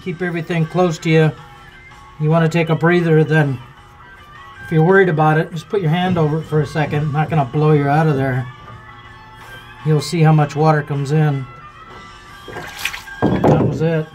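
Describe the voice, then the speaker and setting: A man's voice: on the high side, normal speed, pauses often, soft background music, poor audio. A man casually talking through a boat repair as he works with his hands, thinking aloud in plain folksy words. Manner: relaxed, thinking aloud while working, plain folksy talk. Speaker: boat owner showing a repair. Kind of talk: casual hands-on how-to narration. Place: aboard a boat, in the bilge.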